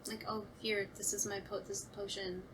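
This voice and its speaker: A woman's voice: medium pitch, level tone, brisk, recorded from a distance, noisy background. A woman in a casual panel discussion, thinking aloud in an informal, tentative way.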